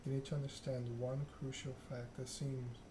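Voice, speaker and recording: An aloof, scheming vampire lady as a man reads her for ASMR speaks formal game dialogue with deliberate condescension. The pitch is middling and barely varies, over clearly audible noise. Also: level tone, lightly acted. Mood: condescending.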